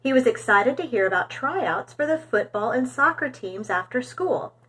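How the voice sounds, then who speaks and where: A woman reading a storybook aloud for young children in an expressive, animated storytelling manner. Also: a little high, normal speed, husky.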